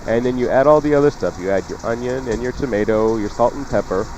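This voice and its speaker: A man's voice: medium pitch, quick pace, a little mellow, lo-fi sound, a little hiss or hum. A man hosting a cooking show on the radio, chatting casually and jokingly as he gives folksy recipe instructions. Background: music under the talk.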